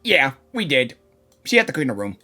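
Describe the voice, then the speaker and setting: A woman's voice: rumbling low, very animated, moderate pace, sharp tone. A woman streamer giving casual live reactions as she plays a game. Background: game music.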